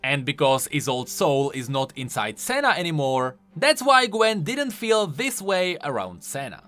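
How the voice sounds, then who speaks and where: Animated, bright-voiced man explaining game lore. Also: a little high, unhurried. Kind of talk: lore commentary voice-over. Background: faint music.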